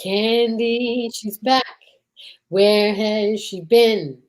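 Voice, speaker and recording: A woman's voice: medium pitch, steady tone, drawn-out words. A woman playing an arch, playful rhyming announcer in an old-time radio detective show. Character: arch, rhyming announcer mocking and touting the heroine.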